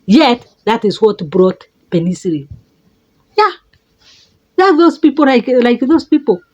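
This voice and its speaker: A middle-aged Kenyan woman with a Kenyan accent, giving an emphatic motivational talk as a life coach, repeating words and leaping up in pitch for stress. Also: sing-song, measured pace, a little mellow, highs cut off. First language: a Kenyan mother tongue.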